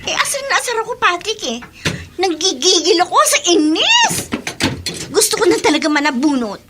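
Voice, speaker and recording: A woman's voice: extremely high pitch, huge pitch swings, normal speed, noisy background, exaggerated voice. A woman playing a spoiled, hot-tempered young woman in a Tagalog radio drama, bratty, snappy and bitter. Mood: furious, exasperated.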